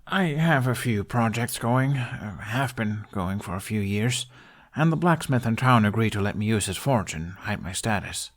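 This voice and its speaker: A man voicing an awkward young prince who tinkers, hesitant and deadpan, in a story reading. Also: fairly low pitch, normal speed, lightly acted. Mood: guarded, earnest.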